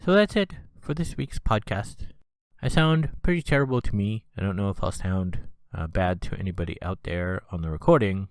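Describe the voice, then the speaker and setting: A man's voice: sits low, normal speed, no room sound. A man with a congested, stuffy nose hosting a casual solo podcast, rambling with plenty of "uh" and "you know". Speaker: podcast host.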